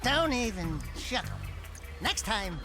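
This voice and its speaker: Cocky high-pitched wisecracking rodent sidekick. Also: male voice, big pitch swings, slow pace, full character voice. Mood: mocking. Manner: flirtatious, boastful. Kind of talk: animated video game cutscene acting. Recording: loud game music behind.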